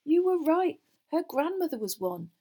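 A woman's voice: high voice, very animated, normal speed, warm tone, voice pushed up. A woman voicing an awkward, earnest teenage schoolboy in a children's fantasy audiobook. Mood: reassuring.

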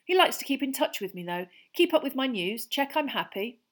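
A woman's voice: high-pitched, sing-song, a little fast, pitched above the performer's own voice, full character voice. Woman doing a cheerful teenage boy. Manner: bright, cheerful.

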